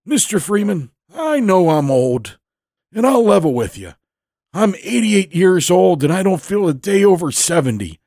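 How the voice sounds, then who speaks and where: A man voicing a genial, boastful Navy veteran in his eighties for an audio storybook, folksy and orating, with drawn-out emphatic phrases. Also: sits high, very animated, a little mellow, no room sound, studio quality, pitched above the performer's own voice, full character voice. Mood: genial, pleading. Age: 80s.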